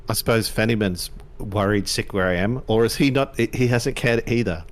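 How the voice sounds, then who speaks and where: A man's voice: on the low side, normal speed, close to an everyday voice. A man playing a bitter, sarcastic nineteen-year-old street survivor in an improvised tabletop role-play. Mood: aggrieved. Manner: sarcastic, aggrieved.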